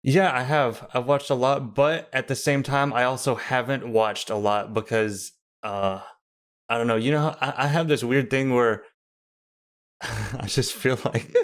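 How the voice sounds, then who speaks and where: A man joins a podcast as a guest, speaking hesitantly and trailing off as he thinks aloud. He rambles a bit and jokes about himself. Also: medium pitch. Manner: hesitant, rambling, self-deprecating. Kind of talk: casual podcast chat.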